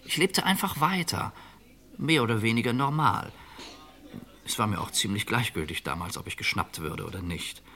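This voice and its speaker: An actor playing a calm, resigned middle-aged fugitive in an old radio crime drama, measured and reflective. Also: male voice, fairly low pitch.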